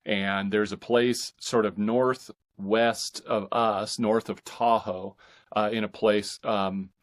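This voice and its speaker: A man's voice: lowish voice, flat delivery, takes it slowly. A middle-aged man with an American accent, talking casually as an interview guest in hesitant, meandering phrases. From northeastern California, United States. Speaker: podcast guest, author and pastor.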